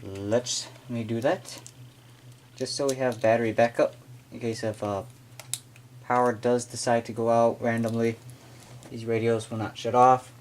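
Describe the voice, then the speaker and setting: American male, medium pitch, off-the-cuff amateur unboxing delivery, slightly noisy camera audio. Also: level tone, normal speed, long pauses. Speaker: amateur unboxing video maker. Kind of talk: casual product unboxing, hands-on demonstration. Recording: noisy amateur room recording with clicking.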